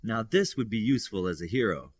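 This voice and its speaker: A man's voice: on the low side, expressive, fairly quick, no room sound. A man voicing an analytical, practical and encouraging student superhero in an audiobook. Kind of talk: audiobook character dialogue.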